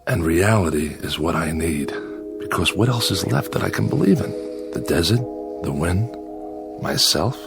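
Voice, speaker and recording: An actor playing a lonely convict exiled on a desert asteroid in an audio drama. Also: male voice, deep voice, animated, normal speed, gravelly. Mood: brooding, weary. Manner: brooding to himself, eager and hospitable with visitors. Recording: music bed under the voice.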